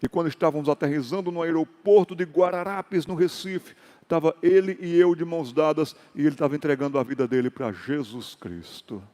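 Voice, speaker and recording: A male pastor telling stories in a sermon, acting out dialogue and rising into loud exhortations. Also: high-pitched, big-room sound. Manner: storytelling with acted dialogue and sudden loud exhortations. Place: church hall.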